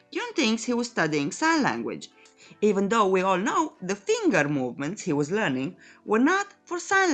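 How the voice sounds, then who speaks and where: Woman, scripted comic recap, animated intonation, cheeky storytelling, soft background music. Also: mid-range voice. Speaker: recap narrator.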